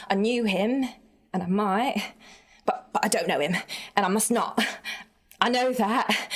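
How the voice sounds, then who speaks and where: A woman voicing a timid, humble young woman in a dramatic literary reading, earnest and tearfully grateful, halting as she speaks. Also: medium pitch, pitched above the performer's own voice. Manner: trembling, halting, repeats herself.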